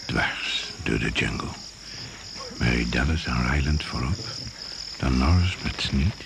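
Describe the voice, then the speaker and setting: An actor playing a grave, measured male narrator of a radio jungle thriller. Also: a bass voice, background noise, exaggerated voice. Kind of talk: scripted radio drama narration.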